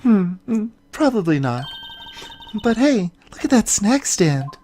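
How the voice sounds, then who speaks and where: Video game sidekick girl, acted by a woman: impulsive, playful, childlike, very lively intonation. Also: medium pitch, slow pace, slight background noise. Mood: playful, excited. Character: perky, impulsive, childlike young female sidekick.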